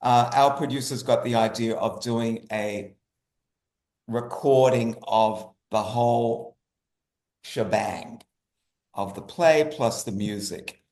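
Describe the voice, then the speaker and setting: A man's voice: mid-range voice, slow pace, a little mellow. A man speaking as an interview guest, often repeating and rephrasing himself for emphasis. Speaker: guest, writer. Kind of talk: interview conversation. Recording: roomy, echoey sound.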